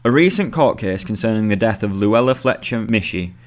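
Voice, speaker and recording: A man's voice: fairly low pitch, lively intonation, brisk. A young British man reading his own written article aloud as scripted narration.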